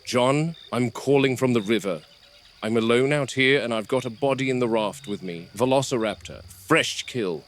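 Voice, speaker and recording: A man playing a wary, gruff middle-aged game warden in a found-footage horror drama, speaking in a clipped, deliberate way. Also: mid-range voice, lightly acted. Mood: grave, urgent. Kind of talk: found-footage horror acting, hushed muttering and radio call. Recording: noisy VHS-style found footage.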